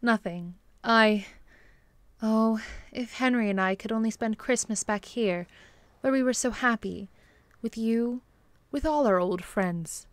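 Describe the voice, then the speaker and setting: An actress playing a kindly, long-suffering bishop's wife in earnest, gentle period drama style. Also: female voice, on the high side, a little slow, no echo, close to an everyday voice. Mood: wistful, upset. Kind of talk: period radio-drama acting.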